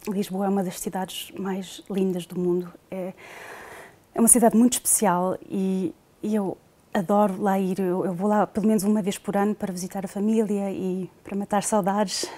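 A woman in her thirties who grew up in Portugal speaks in a casual, personal way, as an actress would when introducing herself. Her voice sits at a middle pitch and her manner is chatty. She is recorded indoors on a lapel mic. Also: steady tone, brisk, mellow. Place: indoor studio with dark backdrop.

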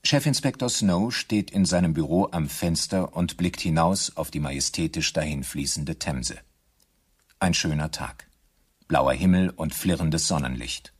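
An actor as the measured narrator of a German crime radio play, reading scripted narration in a low, husky voice. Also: male voice, full character voice.

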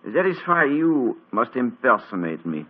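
An actor playing a weak, wounded German count and diplomat with a German accent, speaking formally and urgently on a tinny old radio broadcast. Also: male voice, medium pitch, big pitch swings, unhurried, slightly rough.

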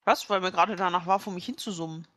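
A woman's voice: lowish voice, fairly even intonation, quick pace, background noise. A woman playing in a tabletop role-playing session, chatting casually and teasing the others.

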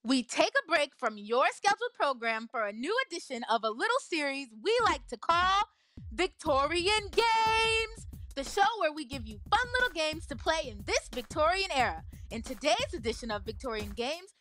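Female radio presenter, perky and theatrical, high animated voice, light music behind. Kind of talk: scripted comic radio-show announcing.

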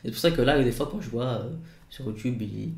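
Young man, 20s, casual YouTuber chat, rambling with fillers, voice of middle pitch, roomy echo. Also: fairly quick, creaky, darkish tone. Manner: rambling, many fillers and restarts. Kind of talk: casual vlog to camera. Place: home studio room.

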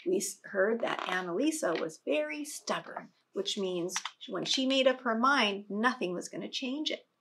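A woman's voice: mid-range voice, noisy background. A middle-aged woman reading a picture book aloud expressively and explaining it to young children as a teacher. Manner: slow, expressive, explanatory, dramatic stresses.

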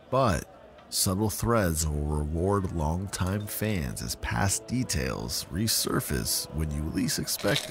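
A man playing an earnest podcast creator in a scripted audio drama, measured and explanatory. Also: low voice, full character voice. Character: earnest male podcast creator being grilled by detectives. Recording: music under the voice.

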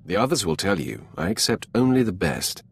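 An actor playing a commanding, imperious Sith lord in a video game, with a gravelly voice and formal, menacing delivery. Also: male voice, low voice, big pitch swings, full character voice. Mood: stern, menacing. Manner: imperious, formal, measured wording. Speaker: military commander, player character. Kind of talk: video game dialogue acting. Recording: music under the voice.

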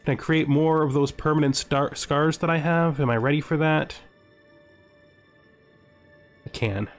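A man's voice: fairly high pitch, steady tone, unhurried, soft background music. A man giving casual strategy game commentary as host, thinking aloud and reading event text. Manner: thinking aloud, asking himself questions. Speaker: let's-play host.

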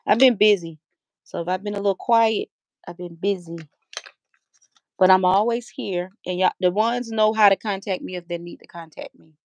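A woman's voice: mid-range voice, moderate pace, no room sound. A middle-aged woman hosting a casual livestream from a room at home, talking easily into a webcam. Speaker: livestream host.

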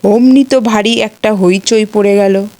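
A woman's voice: mid-range voice, steady tone, measured pace, warm tone. A woman narrating a scripted children's folktale as a storyteller.

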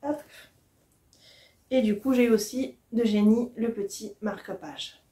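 A woman's voice: a little high, measured pace, dark tone. A woman, chatting casually and enthusiastically to the camera as a book vlogger. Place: small furnished room at home, indoors.

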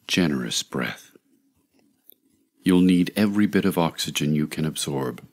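A man narrating a scripted guided meditation in a measured, soothing, deliberate way, with long pauses. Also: rumbling low, husky.

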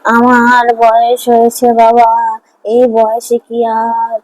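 A woman plays a frail old village granny in a cartoon folk tale. Her voice is fairly high and very soft in tone, with little rise and fall. She sounds plaintive, tender and motherly. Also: monotone, slow pace, rough recording. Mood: weary, sorrowful. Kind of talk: Bengali animated fairy-tale dialogue.

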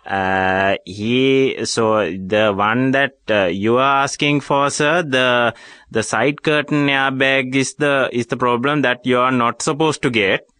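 Halting, deferential Sri male agent played by a prankster. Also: medium pitch, sharp tone, no echo, studio quality. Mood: apologetic, hesitant. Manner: halting, deferential, repeating himself. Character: bumbling, apologetic, sly male car company agent. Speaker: radio prank caller and show host. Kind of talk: prank phone call.

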